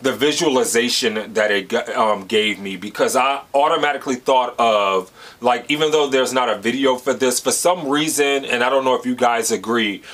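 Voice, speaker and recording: An American man in his thirties talks at a middle pitch in a loose, excited reaction-video style. He gushes and circles back on himself, packing his sentences with "like" as he searches for the right words. His voice sits right in the everyday middle range for a man. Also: normal speed. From United States. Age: 30s. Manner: enthusiastic, rambling with many "like" fillers. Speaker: music reaction host. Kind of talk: casual reaction commentary.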